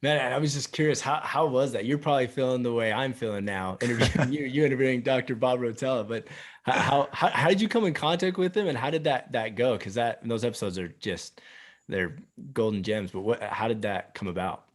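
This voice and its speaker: A man's voice: medium pitch, brisk. A man hosting a golf podcast, chatting eagerly and rambling with lots of filler words. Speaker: podcast host. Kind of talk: casual interview chat.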